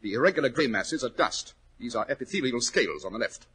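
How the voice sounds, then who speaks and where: An actor playing a brisk, self-assured English detective in a 1940s radio drama, emphatic and probing with a superior manner. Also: male voice, mid-range voice, animated, fairly bright tone. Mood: incisive, assured. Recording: scratchy, rough 1940s radio recording.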